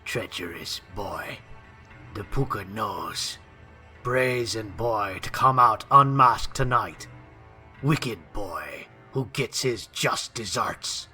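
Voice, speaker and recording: A man voicing a small, wizened, gnome-like bog fairy in a ghost story, menacing and gloating, with slow, drawn-out accusations. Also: lowish voice, expressive, bright, forceful tone, faint music behind, exaggerated voice. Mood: menacing, accusing. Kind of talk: storybook ghost tale character.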